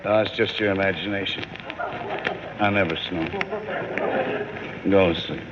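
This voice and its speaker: An actor playing a grumpy, sleepy, contrary husband in a 1940s radio comedy, with dry, irritable grumbling. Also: male voice, deep voice, fairly even intonation, measured pace. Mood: drowsy grumbling. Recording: very noisy, muffled vintage radio broadcast.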